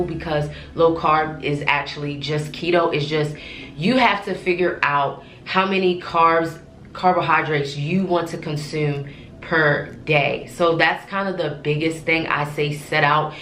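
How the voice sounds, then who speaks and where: A low-pitched young woman talks casually to camera, her voice congested from a cold. She rambles, with frequent fillers and restarts. The room is echoey, with faint music behind her. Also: fairly even intonation, unhurried, a bit bright. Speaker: vlogger.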